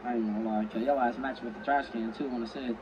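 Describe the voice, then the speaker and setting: A man's voice: mid-range voice, fairly even intonation, fast, lo-fi sound. A man bantering casually in an online roleplay game, brash and slangy, swearing constantly. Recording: noisy game audio background.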